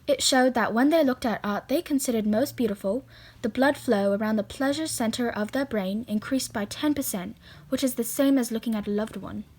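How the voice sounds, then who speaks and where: A woman with a British accent narrating a documentary and asking interview questions in a measured, informative way. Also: on the high side, darkish tone, husky.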